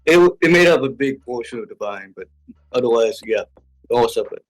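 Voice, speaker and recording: A man answering casually as an interview guest, halting and repeating short phrases as he goes. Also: medium pitch, lively intonation, low-quality recording. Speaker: interview guest, web series creator. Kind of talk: casual chat. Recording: music playing behind. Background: music.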